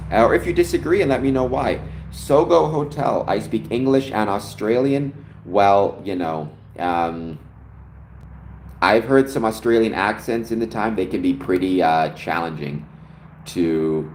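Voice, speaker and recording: Man, very low voice, livestream host, casual explaining, reads viewer comments, echoey room. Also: darkish tone, slight background noise. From Canada. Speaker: livestream host answering chat. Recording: echoey room with faint ambience.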